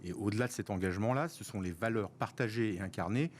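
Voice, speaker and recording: A man in his fifties from France, a guest in an interview, explaining his professional network in a measured way and citing figures. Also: on the low side, a little mellow, a little hiss or hum. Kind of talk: radio interview answers.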